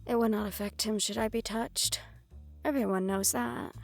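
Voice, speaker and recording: A woman's voice: on the high side, steady tone, normal speed, vocal fry, faint music behind. Woman playing a menacing, silky mafia underboss woman. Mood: taunting. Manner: mock-polite, coolly threatening. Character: menacing, composed female mafia underboss, silkily condescending. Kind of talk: audio roleplay acting.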